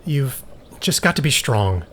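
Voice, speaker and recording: A man's voice: medium pitch, very animated, unhurried. A man acting in an audio drama as a stern, self-controlled scientist, blunt and clinical in manner. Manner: matter-of-fact, firm, clinical. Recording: faint background noise.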